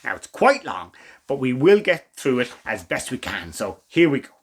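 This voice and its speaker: A man reading a children's story as a storytime host, with expressive, theatrical emphasis and asides to the listeners. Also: medium pitch, normal speed, breathy edge. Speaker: storytime reader.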